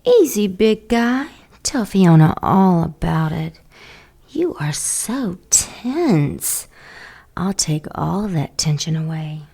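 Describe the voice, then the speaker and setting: A woman's voice: on the low side, big pitch swings, slow, vocal fry, warm tone, slightly rough, full character voice. An actress playing a scheming female demon, sly and flirtatious in an audio drama.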